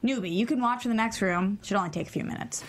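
A woman acts a tough female police detective at a script read. She is blunt and dry, with a prickly edge and a mid-pitched voice. Her lines come out sarcastic and unimpressed. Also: quick pace, lightly acted. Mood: commanding. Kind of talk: table read of a TV pilot script.

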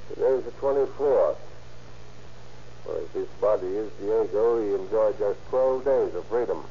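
Low-voiced, commanding police captain. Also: male voice, measured pace, mellow. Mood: probing. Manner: clipped, authoritative, questioning. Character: brisk, commanding male homicide squad captain. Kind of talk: 1930s-40s radio crime drama acting. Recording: muffled, noisy old-time radio recording.

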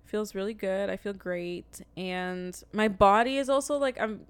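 A woman co-hosts a casual podcast in a smooth, clear voice. She talks directly, teases her co-host and reflects openly. The sound is dry and close. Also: medium pitch, unhurried, no room sound. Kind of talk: casual chat.

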